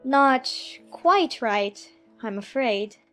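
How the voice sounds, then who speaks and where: Woman as timid, well-mannered storybook little girl, earnest delivery, high voice, soft music underneath. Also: animated, extremely slow, exaggerated voice. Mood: timid, apologetic. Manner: polite, hesitant, earnest. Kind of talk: dramatized audiobook character acting.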